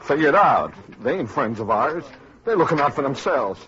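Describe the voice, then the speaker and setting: A man's voice: medium pitch, sing-song, normal speed, fairly bright tone. An actor playing a suspicious, grumbling working man with blunt, folksy speech in an old-time radio drama. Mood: suspicious, bitter. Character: suspicious, grumbling working-class male survivor. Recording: noisy muffled old radio recording.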